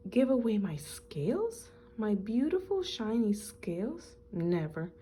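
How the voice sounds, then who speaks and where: A woman's voice: medium pitch, sing-song, slow pace, dark tone, slight background noise. Woman acting a vain, dramatically swooping male fish. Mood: reluctant. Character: proud, vain, indignant beautiful male fish.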